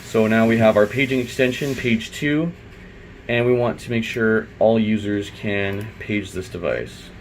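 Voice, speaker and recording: A man's voice: on the low side, measured pace, slight background noise. A man giving an informal, unscripted step-by-step tutorial, thinking aloud as he works. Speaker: IT installer giving a software tutorial. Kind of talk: unscripted step-by-step screen walkthrough.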